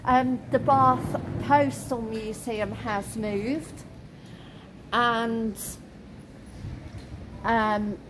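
A woman in her thirties walking city streets and pointing out shops and sights, talking haltingly with many ums and uhs into a phone camera held at arm's length. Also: a little high, fairly even intonation. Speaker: travel vlogger. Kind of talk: walk-and-talk vlog narration. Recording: street noise behind the voice. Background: traffic and street ambience.

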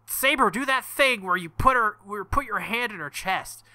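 Bright excitable young man, game commentator. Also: high voice, normal speed. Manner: excitable, swings to loud outbursts; profane, joking. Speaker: let's-play host. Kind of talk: visual novel playthrough with commentary.

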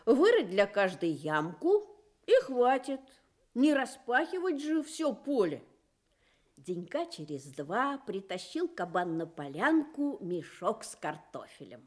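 A woman reads a children's story for radio. Her delivery is slow and highly animated, with expressive storytelling that gives each phrase its own shape.

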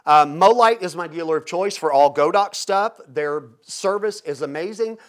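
A man's voice: fairly high pitch, normal speed, fairly bright tone. A man in his fifties talking casually and informally as he explains and demonstrates a product. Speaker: product reviewer, host. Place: indoor photo studio with backdrop.

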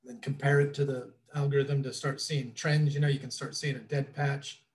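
A man's voice: mid-range voice, level tone, normal speed. A middle-aged man explaining things informally as a trainer in an online webinar, in a casual, loosely worded way, recorded indoors over a video call. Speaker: drone trainer presenting a webinar. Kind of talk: informal instructional presentation over slides.

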